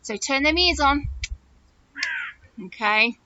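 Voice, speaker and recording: A woman reading a story aloud to young children like a nursery teacher, in an animated, exaggerated manner, often putting questions straight to them. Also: sits high, takes it slowly, lo-fi sound, slight background noise.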